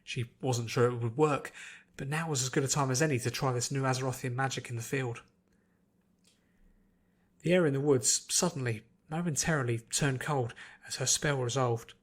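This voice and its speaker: A man's voice: medium pitch, normal speed. A man reading a scripted adventure story aloud as its narrator. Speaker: narrator, podfic reader.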